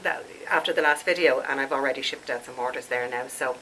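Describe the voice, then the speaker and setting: A woman's voice: extremely low pitch, quick pace, sharp tone, slight background noise, rough recording. A woman in her late forties, congested and stuffed up, chatting and rambling to camera as a skincare vlogger and laughing at herself. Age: late 40s. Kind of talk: casual chat to camera.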